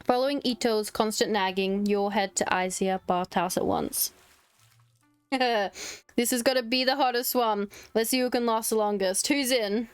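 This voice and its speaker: A woman's voice: fairly high pitch, normal speed, clear, resonant tone, no echo, soft background music. A woman streaming a game casually, informally reading dialogue aloud and muttering her own reactions in between.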